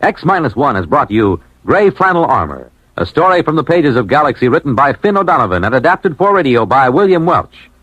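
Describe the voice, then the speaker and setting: Animated, husky American radio announcer man. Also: mid-range voice, brisk, few pauses, no echo, dull audio. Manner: emphatic, formal, polished delivery. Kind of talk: 1950s scripted announcing and commercial read. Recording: vintage radio broadcast.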